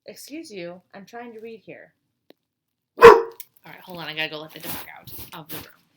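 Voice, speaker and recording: A woman reading a children's storybook aloud in an expressive style, with chatty asides to her young listeners. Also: on the low side, normal speed, very noisy. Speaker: teacher reading aloud to pupils.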